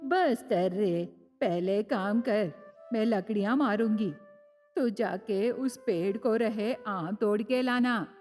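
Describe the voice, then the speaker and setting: Cartoon grandmother played by a woman: elderly, dreamy villager, unhurried speech, heavy reverb over soft music. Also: medium pitch, pitched below the performer's own voice, close to an everyday voice. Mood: bossy.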